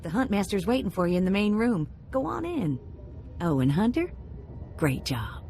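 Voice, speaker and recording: A woman's voice: mid-range voice, very animated, normal speed, darkish tone, soft background music. A woman playing a spirited, teasing young female sidekick with a chatty, informal manner in a video game. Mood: glad.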